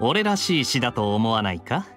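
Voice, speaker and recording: A man's voice: medium pitch, sing-song, normal speed, a bit bright, soft background music. A man voicing a suave young knight captain in an anime game, teasing and nonchalant. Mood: amused, teasing. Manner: smooth-talking, nonchalant, wry.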